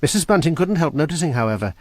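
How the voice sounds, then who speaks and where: A man narrating a radio drama in a measured storytelling manner. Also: on the high side, big pitch swings, no room sound. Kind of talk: scripted narration.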